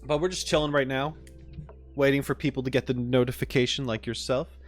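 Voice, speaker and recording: A man's voice: medium pitch, fairly even intonation, brisk. A man chatting casually to his livestream viewers as host, in an informal, rambling, self-deprecating joking way. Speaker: livestream host, game reaction streamer. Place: home streaming setup with green screen. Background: music.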